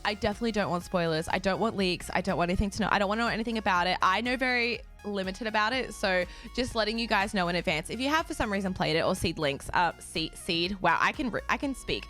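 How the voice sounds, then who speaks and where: A young woman streaming a game and chatting casually with her viewers, bubbly and quick to laugh, her voice a little raspy from just waking up. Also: mid-range voice, animated, a bit bright, soft background music. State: slightly raspy, just woken up. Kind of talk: casual chat with a live stream audience.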